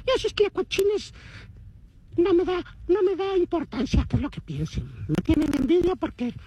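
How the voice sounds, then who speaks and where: A man's voice: very high voice, huge pitch swings, normal speed, dark tone. A man from Tijuana with a Mexican accent, rambling playfully and boastfully as a caller leaving a message.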